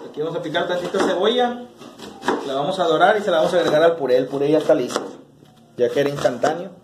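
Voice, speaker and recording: A Mexican man in his thirties walks through a home recipe for his channel, easygoing and chatty as he cooks. His voice sits fairly high for a man. The small kitchen rings with echo, and a faint hum of noise sits under everything. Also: fast. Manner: relaxed, talks straight to camera while cooking. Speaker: cooking channel host. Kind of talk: casual cooking tutorial. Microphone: camera mic, medium distance.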